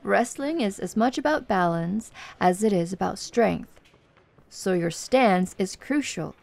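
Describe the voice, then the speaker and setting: A woman playing a confident, flirtatious tomboy MMA coach in an ASMR roleplay, speaking softly and teasingly to the listener. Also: medium pitch, animated, vocal fry. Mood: instructing, playful. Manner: slow, teasing, giggly, encouraging.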